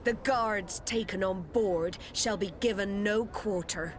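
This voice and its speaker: An actress playing a stern, proud, indignant navy admiral in a video game, speaking in a formal, commanding manner. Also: female voice, mid-range voice, takes it slowly, background noise, close to an everyday voice. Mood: indignant, threatening. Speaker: game character actor. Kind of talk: dramatic video game acting.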